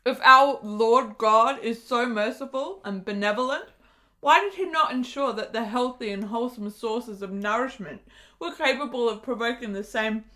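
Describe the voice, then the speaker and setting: An actress playing a gravely ill, melancholy and intense woman in a period radio drama, speaking in deliberate, literary phrases. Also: on the high side, bright, forceful tone. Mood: rapturous questioning.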